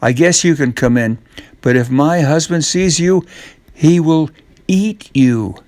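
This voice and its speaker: A man's voice: on the high side, slow, darkish tone, no room sound, voice pushed up. A man voicing a tall, vain, bossy giant's wife in a children's storybook, haughty and scolding. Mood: haughty warning.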